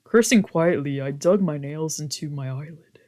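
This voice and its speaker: A casual game streamer with a mid-pitched voice. They read story text aloud and break off into loose, sweary commentary and exclaimed reactions. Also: normal speed, warm tone. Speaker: streamer doing a let's play.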